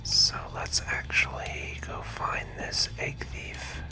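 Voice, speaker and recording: Someone giving gentle, unhurried whispered ASMR commentary while playing a video game, talking through each move close to the microphone. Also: music in the background. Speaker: video game playthrough host.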